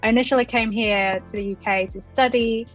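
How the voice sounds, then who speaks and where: A woman from China who works as a marketing consultant, answering interview questions hesitantly with frequent pauses. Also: fairly high pitch, steady tone, highs cut off, faint music behind. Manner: hesitant, many ums and restarts.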